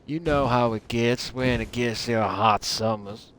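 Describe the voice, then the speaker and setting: A man's voice: medium pitch, measured pace, fairly bright tone. A man from the United Kingdom putting on a thick Southern US, Texan drawl while giving hammy, joking, rambling commentary as a game livestreamer. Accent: put-on thick Southern US, Texan drawl. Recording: noisy, game battle sounds behind.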